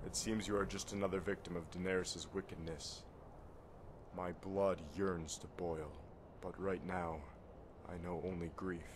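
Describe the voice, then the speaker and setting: Grave honorable knight type for a fantasy game, really deep, formal old-timey talk, noise behind. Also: male voice, fairly even intonation, unhurried. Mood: grieving, solemn. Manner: solemn, formal, archaic phrasing. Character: grave, honour-bound warrior of a sworn order, grieving. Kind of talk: fantasy video game dialogue.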